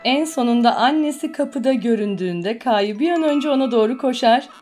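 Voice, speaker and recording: A woman's voice: on the high side, lively intonation, moderate pace, a little mellow, faint music behind. A woman narrating a children's cartoon in a simple storytelling manner for small children.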